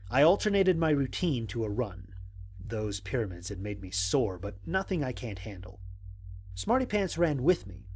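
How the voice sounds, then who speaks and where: A man playing a wry, analytical stranded astronaut, narrating mission logs in an audio drama in a measured, articulate way. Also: mid-range voice, slight background noise, close to an everyday voice. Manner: measured, articulate, dryly humorous. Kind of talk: dramatic scripted log narration.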